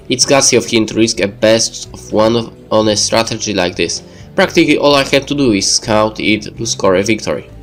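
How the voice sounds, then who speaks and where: A man reading the narration of a visual novel aloud in a let's play style. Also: fairly low pitch. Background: game music.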